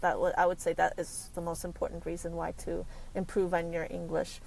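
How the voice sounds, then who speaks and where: A woman's voice: lowish voice, monotone, a little fast, vocal fry, darkish tone, slight background noise, low-quality recording. An American woman, a career consultant, talking casually as an interview guest, rambling with many fillers and restarts. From United States.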